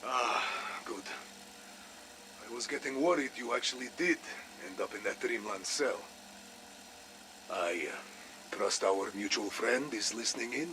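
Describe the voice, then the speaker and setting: A man playing a shrewd, wary Russian spy in a video game, with a Russian accent and measured, sly phrasing. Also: mid-range voice, noisy background, lightly acted. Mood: wary, dry. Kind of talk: cinematic video game voice acting.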